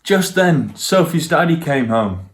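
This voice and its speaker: A man's voice: fairly high pitch, very animated, measured pace. A man reading stories for young children and speaking to them directly as a guest storyteller.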